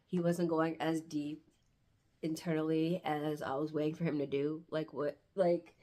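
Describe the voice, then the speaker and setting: A woman's voice: low voice, flat delivery, measured pace, dark tone. A congested woman with a stuffy nose from hay fever, chatting casually and reflectively as she reviews books, often searching for words. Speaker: book reviewer vlogger. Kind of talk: casual book review chat, with passages read aloud.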